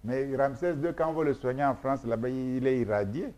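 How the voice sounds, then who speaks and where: Emphatic, lecturing Congolese man, mellow voice. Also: medium pitch, moderate pace, muffled recording. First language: Mboshi. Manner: emphatic, repetitive, lecturing, many hesitations. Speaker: scholar being interviewed. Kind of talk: explanatory interview talk.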